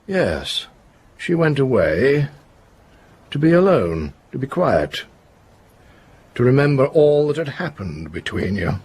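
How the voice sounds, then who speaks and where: An actor playing an unyielding police inspector in a period radio drama, questioning with deliberate authority. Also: male voice, medium pitch, sing-song, darkish tone, husky, full character voice. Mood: grave, measured.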